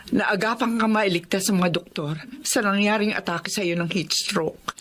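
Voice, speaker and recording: Radio drama part for a woman: caring bedside companion, mid-range voice, deliberate, concerned. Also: medium pitch, steady tone, a bit bright, lightly acted. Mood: relieved, concerned. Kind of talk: Filipino radio drama acting.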